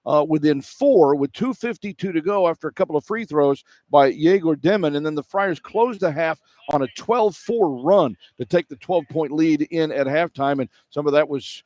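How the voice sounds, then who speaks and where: Male sports broadcaster, slightly high voice, play-by-play radio commentary, game recap, dry close sound. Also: moderate pace, few pauses, darkish tone, no room sound.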